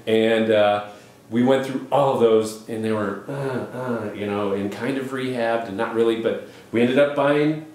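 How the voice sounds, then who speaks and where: A man's voice: fairly low pitch, a little slow, darkish tone. A man in his forties talking casually and conversationally in an audition-style chat. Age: 40s. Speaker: home buyer auditioning for a TV show. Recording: very echoey, roomy sound.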